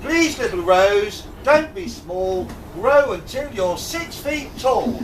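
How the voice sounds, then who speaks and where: A man's voice: extremely high pitch, very animated, slow pace, exaggerated voice. A man playing a forgetful, jokey pantomime king, coaxing and bantering with the audience in a theatrical way. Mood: coaxing, playful. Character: forgetful, jokey pantomime king fussing over his rose. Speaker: amateur pantomime actor. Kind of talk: live pantomime, addressing the audience. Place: indoor tavern stage with live audience. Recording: echoey hall from far off, audience noise.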